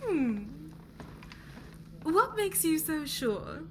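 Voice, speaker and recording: A woman's voice: high voice, very animated, drawn-out words, slight background noise, full character voice. A woman playing a haughty noblewoman on a company board, speaking with condescending politeness in an ASMR roleplay.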